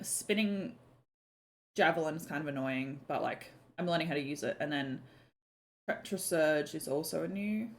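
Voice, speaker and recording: A young woman, chatting casually on a live stream, filling her talk with "like" and "um", her voice a little croaky. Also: mid-range voice, fairly bright tone. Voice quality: slightly croaky. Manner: chatty, lots of "like" and "um", swears casually.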